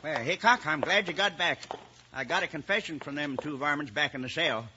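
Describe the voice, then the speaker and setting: An actor playing a crusty old sheriff with a folksy, grumbling American Western way of talking, in a 1950s radio western. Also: male voice, a little high, fairly quick, natural voice. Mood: businesslike. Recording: muffled, hissy old radio recording.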